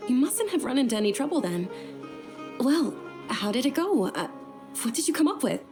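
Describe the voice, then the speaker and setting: An actress playing a gentle young noblewoman in a game, speaking softly and courteously. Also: sits high, sing-song, normal speed, over music. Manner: soft, courteous, careful. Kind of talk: game voice acting.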